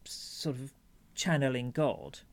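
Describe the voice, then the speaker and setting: A man's voice: mid-range voice, animated, takes it slowly. A man co-hosting a podcast in casual chat, thoughtful and hesitant as he searches for the right words, with a dry self-mocking humor. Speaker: podcast co-host.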